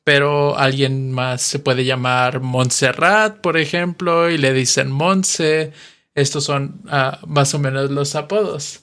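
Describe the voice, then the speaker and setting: Slow, clear young Mexican man. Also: medium pitch, fairly even intonation, fairly bright tone. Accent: Mexican Spanish. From western Mexico. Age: 20s. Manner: patient, explains words with examples. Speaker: podcast host, Spanish teacher. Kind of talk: slow explanatory podcast monologue.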